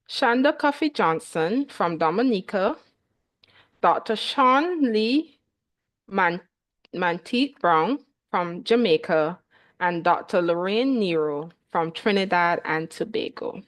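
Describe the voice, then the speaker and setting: A woman's voice: mid-range voice, expressive, slow. A woman hosting an online talk show, introducing her guests and asking questions. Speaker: host.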